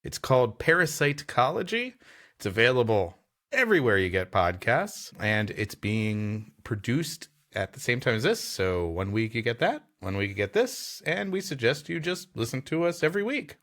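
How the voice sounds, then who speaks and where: A male comedy podcast host who banters and reads stories aloud. His pitch rises and falls in a lively way, and his delivery is playful and expressive. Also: normal speed.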